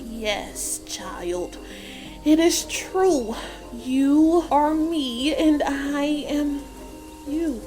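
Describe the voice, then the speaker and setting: Actress as an elderly witch, knowing, cryptic, slow measured delivery, dark fairy tale audiobook, faint music behind. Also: high-pitched. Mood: solemn. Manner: measured, rhetorical storytelling.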